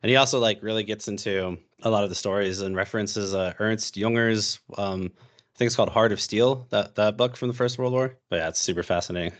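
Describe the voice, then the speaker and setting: A young American man with a fairly low voice talks loosely on a podcast as a guest. He rambles, full of fillers, restarts and asides. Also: brisk. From United States. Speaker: podcast guest, history YouTuber. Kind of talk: casual podcast chat.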